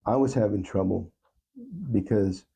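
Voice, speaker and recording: A man speaking as an interview guest, telling personal stories in a reflective, halting and earnest way. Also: on the low side, gravelly, dark tone, low-quality recording. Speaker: talk show guest, songwriter.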